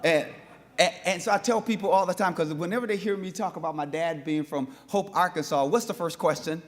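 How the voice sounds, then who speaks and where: A man from North Minneapolis addresses a forum audience with fervent conviction. His voice sits a touch high, and he circles back on words as he pushes his point. He speaks as a public speaker rallying a room. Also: brisk. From North Minneapolis, USA. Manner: impassioned, repetitive restarts. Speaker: panel speaker. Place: forum hall with live audience.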